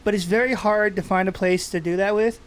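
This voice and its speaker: A man's voice: sits high, fairly even intonation, brisk, smooth. A man, co-hosting a casual podcast, laid-back and swearing, coughing now and then as he smokes. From Texas. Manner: laid-back, swearing, rambling with restarts, coughing while smoking. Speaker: podcast co-host. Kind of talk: casual chat. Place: indoors, seated smoking.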